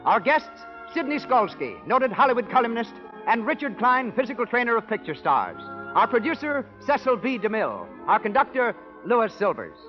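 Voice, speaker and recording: A man's voice: very high-pitched, big pitch swings, normal speed. An American man announcing a 1930s radio show with a polished, declamatory delivery. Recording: dull old 1930s radio broadcast. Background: music.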